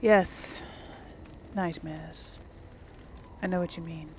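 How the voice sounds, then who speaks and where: A woman gives casual gameplay commentary, musing aloud as she role plays. She speaks very slowly with noticeable creak. There is faint game noise behind her. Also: lowish voice, steady tone. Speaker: gamer, series host.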